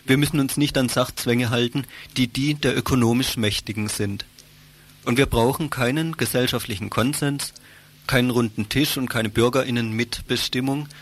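A man's voice of medium pitch reads out a scripted political commentary. He speaks formally, in measured written sentences, as a radio commentator would.

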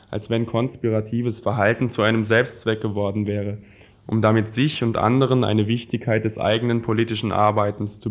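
A man reading prepared political texts aloud in a scripted radio style. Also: fairly low pitch.

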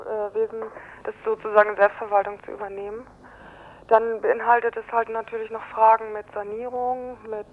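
Female interviewee, informal and hesitant, little pitch movement, muffled telephone sound with some noise. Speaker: interviewed resident of a housing project. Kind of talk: spontaneous phone interview. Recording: muffled noisy telephone line.

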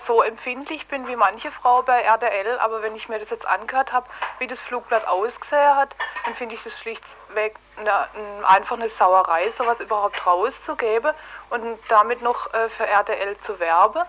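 Woman with a fairly high voice for a radio debate: opinionated, direct, colloquial, speaks as a station staff member. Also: moderate pace, lo-fi sound, a little hiss or hum. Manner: outspoken, opinionated, colloquial with tag questions. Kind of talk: casual, argumentative radio discussion.

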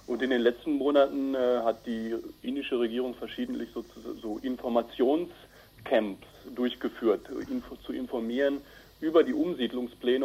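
Male radio interviewee, informal and explanatory, mellow tone with a rough, breathy edge. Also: medium pitch, poor audio. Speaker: interviewed activist reporting on an Indian dam project.

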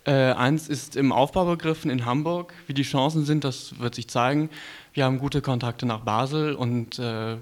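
A man presenting a radio programme off the cuff, halting often to search for words. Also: mid-range voice. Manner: halting, with frequent hesitations and searching pauses. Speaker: community radio presenter of an editorial team. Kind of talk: unscripted on-air programme presentation. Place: radio studio.

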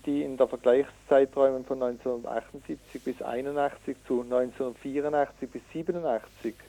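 A man being interviewed as an environmental activist, speaking haltingly with frequent hesitations and self-corrections, in an indignant, emphatic way. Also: mid-range voice, gravelly, warm tone, poor audio. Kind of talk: radio interview, reading out figures and arguing.

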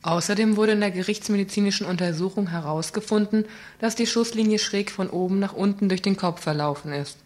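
A woman's voice: medium pitch, fairly quick, darkish tone. A woman reading a scripted report on a community radio news program, earnest and questioning, with small slips.

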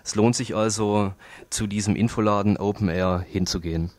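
A man presenting informal community radio announcements in a hesitant, halting way. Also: fairly low pitch, fairly even intonation, darkish tone.

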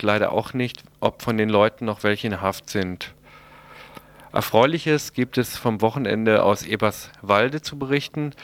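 A man's voice: medium pitch, a bit bright, no echo. A man reading a news report as a radio presenter, in a plain delivery with the occasional stumble. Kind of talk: read news report on a local left-wing radio show.

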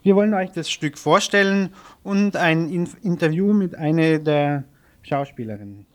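A man with a slightly high voice presents the news from a radio studio. He moves between reading out items and loosely improvised, somewhat hesitant links. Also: moderate pace. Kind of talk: live studio news overview, partly read out.

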